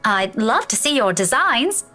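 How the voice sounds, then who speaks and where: A friendly young female schoolteacher in a visual novel. Her British accent carries rolled Scottish R's, and her slightly high voice moves up and down a lot as she teases and asks questions, with music behind her. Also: very animated, unhurried, pushed and bright, exaggerated voice. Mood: friendly, curious. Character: friendly young woman schoolteacher, playful and curious. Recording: music behind game dialogue.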